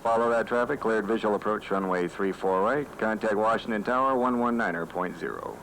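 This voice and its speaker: An American man playing a calm air traffic controller in a training film, giving clipped, matter-of-fact radio instructions. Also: on the low side, fairly quick, low-quality recording. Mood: businesslike. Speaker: approach controller.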